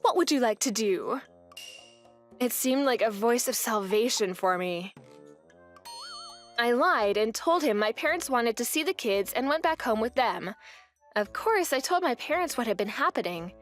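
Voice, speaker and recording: A woman's voice: a little high, expressive, normal speed, soft background music. A young woman narrating her own story as a wife in a manga dub, speaking in an earnest, weary way.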